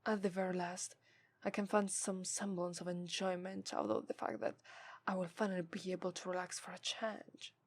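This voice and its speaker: A woman's voice: lowish voice, level tone, no echo. Haughty Italian-accented demoness acted by a woman, close and dry. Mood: grudging, haughty. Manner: haughty, deliberate, formal, dropping into breathy whispers. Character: haughty, scornful, seductive female demon, summoned and contemptuous. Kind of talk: ASMR roleplay voice acting.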